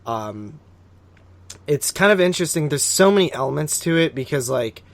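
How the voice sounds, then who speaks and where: A man's voice: a little high, lively intonation, moderate pace, creaky, no room sound. A man co-hosting a podcast in casual banter, swearing freely, teasing and given to exaggeration. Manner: profane, teasing. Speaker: podcast co-host.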